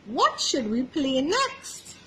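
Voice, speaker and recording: A woman voicing a gentle, encouraging squirrel father in a children's storybook read-aloud. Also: high-pitched, huge pitch swings, slow pace, a little hiss or hum, full character voice. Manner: soothing, encouraging.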